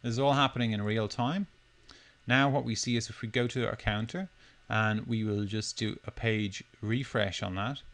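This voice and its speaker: A man presenting a software demo, talking through it step by step in a loosely unscripted, explanatory way. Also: on the low side, fairly even intonation, normal speed. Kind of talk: step-by-step screencast tutorial narration.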